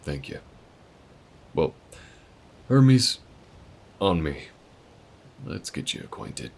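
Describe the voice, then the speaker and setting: A man's voice: very deep voice, sing-song, extremely slow, vocal fry, a little mellow, slight background noise, heavily acted. A man voicing a friendly, easygoing male alien who shows the listener around town in an audio roleplay, talking in a folksy, laid-back drawl.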